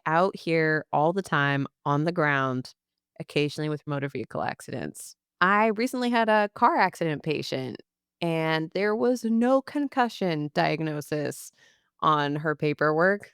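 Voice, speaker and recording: A woman's voice: low-pitched, unhurried, clear, resonant tone, dry recording, clean studio sound. A young adult woman co-hosting a casual podcast, playful and sarcastic, laughing often. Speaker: podcast co-host, speech-language pathologist. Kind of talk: casual chat.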